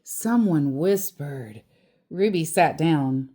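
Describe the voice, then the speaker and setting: Female children's storyteller: animated, melodic read-aloud at a leisurely pace, with casual asides. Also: low-pitched, slow pace.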